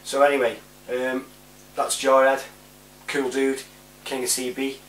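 A British man in his forties chatting casually to camera in a laid-back, rambling way, giving shout-outs. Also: mid-range voice, monotone, unhurried. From United Kingdom. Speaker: vlogger. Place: small room indoors at home. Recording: roomy, distant mic with faint hum.

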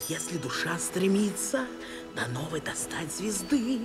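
A wise old village grandmother speaks low and very slowly, with a mischievous streak. It is an animated film dub with clear music behind. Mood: mystical, tender.

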